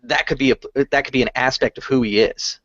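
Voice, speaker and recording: A man from California explaining ideas in a measured way on a video hangout. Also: mid-range voice, fairly even intonation, crowd noise. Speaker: game master panelist. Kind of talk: casual chat on a video hangout. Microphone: video call microphone. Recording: dull, dry call audio.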